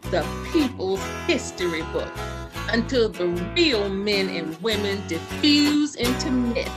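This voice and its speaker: A woman delivering solemn, dramatic scripted narration as the storyteller of a radio drama. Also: fairly high pitch. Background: guitar music.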